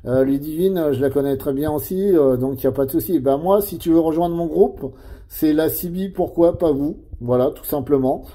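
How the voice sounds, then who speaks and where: A man's voice: on the high side, moderate pace, dark tone, slightly rough. A middle-aged man chatting casually as a CB radio operator, adding tag words at the ends of phrases.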